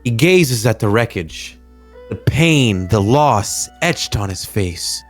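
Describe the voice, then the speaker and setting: A man's voice: on the low side, lively intonation, slow, soft background music. A man reading a screenplay aloud as narrator, theatrical and solemn, drawing out his phrases. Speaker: narrator reading a movie script. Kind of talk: dramatic scripted screenplay narration.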